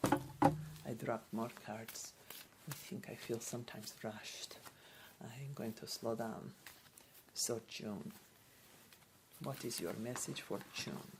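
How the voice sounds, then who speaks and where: A woman playing a gentle, unhurried female card reader in a soft-spoken ASMR role play, halting and reassuring as she goes. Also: very deep voice, steady tone, noisy background, exaggerated voice. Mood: gentle, apologetic. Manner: halting, hesitant, reassuring, short simple phrases.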